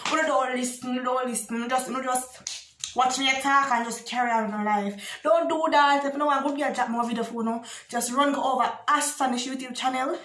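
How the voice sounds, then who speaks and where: A woman's voice: high-pitched, steady tone, fairly quick, a bit bright. A young adult woman with a Jamaican accent chatting casually to camera as a video blogger. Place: small bare indoor room. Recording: echoey small room.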